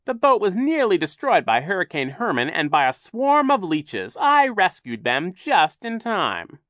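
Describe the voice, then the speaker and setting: A man's voice: sits high, huge pitch swings, fairly bright tone, no echo, clean studio sound, voice pushed up, full character voice. A man voicing a menacing villainous sea captain in an audiobook, sneering and falsely sweet, drawing out his words. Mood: oily false concern. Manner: falsely soothing, sneering, drawn-out delivery.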